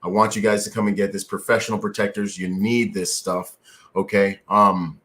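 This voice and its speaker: Low-voiced laid-back male podcast host. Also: level tone, normal speed, fairly bright tone. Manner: laid-back slang, lots of agreeing fillers. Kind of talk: casual podcast interview.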